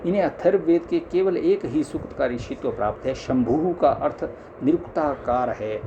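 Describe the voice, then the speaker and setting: A man with a somewhat higher-pitched voice reads scholarly scripture aloud in a formal way. It sounds like a homemade audiobook, with clear noise behind the voice. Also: moderate pace, mellow, dull audio. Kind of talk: reading scholarly religious text aloud.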